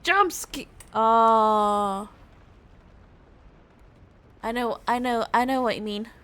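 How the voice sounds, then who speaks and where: A woman's voice: a little high, fairly even intonation, slow, fairly bright tone. A woman game streamer reacting casually as she plays, with game music behind her.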